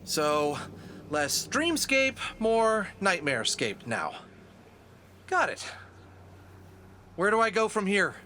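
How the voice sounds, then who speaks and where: Man voicing earnest high-pitched young-sounding hero. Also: sing-song, slow pace, long pauses, sharp tone, a little hiss or hum, heavily acted. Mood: bewildered. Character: earnest, determined male fox adventurer, loyal hero. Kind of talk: audio drama acting.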